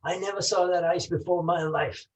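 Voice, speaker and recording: A man's voice: high voice, fairly even intonation, fairly quick, distant mic, muffled recording, close to an everyday voice. Man as a defiant small-time crook, off-mic. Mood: protesting, rattled. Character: defiant small-time male crook, protesting his innocence. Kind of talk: campy 1940s gangster radio acting. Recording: off-mic live stage pickup.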